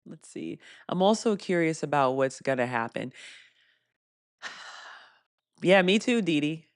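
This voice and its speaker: A woman with a fairly deep voice hosting a casual live stream Q&A. She laughs often and reads viewer comments aloud. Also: animated, a little slow, dry recording, clean studio sound. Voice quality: deeper voice for a woman.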